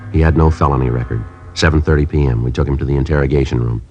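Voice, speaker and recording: An actor playing a police sergeant who narrates in a clipped, matter-of-fact report style, in 1950s radio drama acting. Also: male voice, rumbling low, rapid-fire, warm tone, breathy edge, no room sound. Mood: flat. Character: terse male police detective sergeant. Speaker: narrator and detective. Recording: dull old radio broadcast with music.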